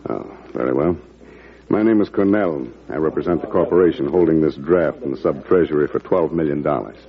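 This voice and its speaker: An actor playing a businesslike corporate representative with formal courtesy in an old-time radio drama. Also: male voice, rumbling low, brisk. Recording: tinny, narrow-band vintage radio recording.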